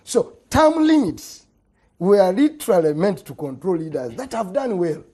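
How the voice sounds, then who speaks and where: A middle-aged Ugandan man argues his point on a political talk show, his husky voice swinging widely up and down. He hammers words by repeating them and lets each phrase sink low at its end. The tone is mellow and dark. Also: very animated, measured pace. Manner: emphatic, repeats words, voice drops at phrase ends. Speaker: politician guest. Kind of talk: heated political panel debate.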